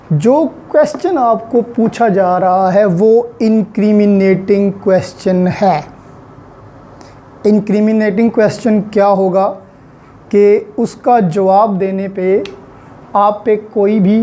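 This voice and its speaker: A woman with a Pakistani accent delivers a methodical, instructive lecture. Her voice sits at a medium pitch with a mellow, relaxed tone. She takes her time, switching into English for technical terms as she explains each point. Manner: code-switches between Urdu and English legal terms; didactic, step-by-step explaining. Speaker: law lecturer.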